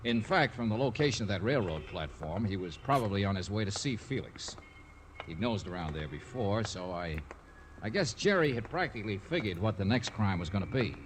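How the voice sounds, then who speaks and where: An actor playing a tough, brash private detective in a 1940s radio drama, with brusque, clipped hardboiled delivery. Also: male voice, lowish voice, expressive, brisk, lightly acted. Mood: deliberate, pressing. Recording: noisy old-time radio recording.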